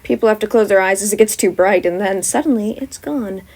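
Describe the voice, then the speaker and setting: A woman narrating a fan-fiction podfic in a plain, steady storytelling manner. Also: mid-range voice, fairly quick, poor audio. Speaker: podfic reader.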